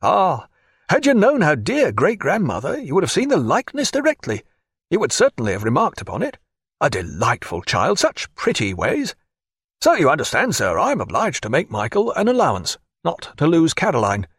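A husky, high-pitched merchant frets and fusses in a voice that leaps up and down. He pleads and grumbles by turns, easily worked up, as a narrator puts him on. The recording is close and dry. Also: normal speed, no echo, full character voice. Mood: anxious. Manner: fussy, excitable, pleading. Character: fretful middle-aged Boston merchant man. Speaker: former loyalist and merchant.

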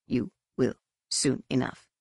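A woman's voice: deep voice, measured pace, vocal fry, fairly bright tone, slightly rough, dry recording, studio quality, exaggerated voice. A woman voicing a cryptic, menacing male fanatic in an audiobook, taunting with a hiss. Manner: hissing, taunting.

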